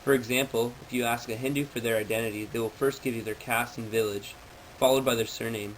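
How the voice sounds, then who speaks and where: Male presenter, average pitch, formal scripted explainer read, amateur audio with noticeable background noise. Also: steady tone, quick pace, poor audio. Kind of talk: prepared informational presentation, read out.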